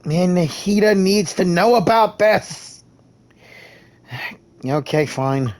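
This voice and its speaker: A man's voice: high-pitched, animated, slow pace, darkish tone. A man speaking casually and informally as a gamer voicing along with a video game.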